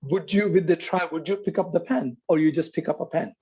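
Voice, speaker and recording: A middle-aged man coaches over a video call, earnest and emphatic, driving his points home by repeating them. His voice is mellow, husky and a little high for a man. The call audio sounds dull and lo-fi. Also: brisk. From Pakistan. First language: Urdu. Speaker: leadership coach. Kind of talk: motivational coaching in an online session.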